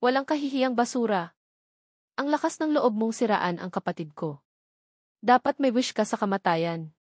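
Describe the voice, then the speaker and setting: A woman's voice: fairly high pitch, normal speed, a little mellow, no room sound, clean studio sound, natural voice. A woman voicing a cold, angry, demanding fairy swordswoman in a story reading. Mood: outraged.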